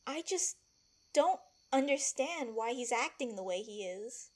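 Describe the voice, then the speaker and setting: A woman's voice: a little high, lively intonation, unhurried, a little mellow. A woman acting a feisty, protective palace servant in an audio drama, blunt and emphatic. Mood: reassuring, frank.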